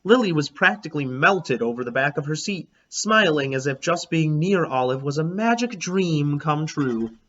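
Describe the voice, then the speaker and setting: A man reading a children's storybook aloud as a teacher, in an expressive, animated, emphatic way. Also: a little high, moderate pace, hardly any pauses, highs cut off, slight background noise. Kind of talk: expressive storybook read-aloud in a child narrator's first person.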